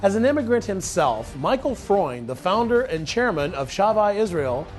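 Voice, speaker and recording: Male program narrator, high and lively pitch, scripted voice-over. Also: moderate pace, soft background music.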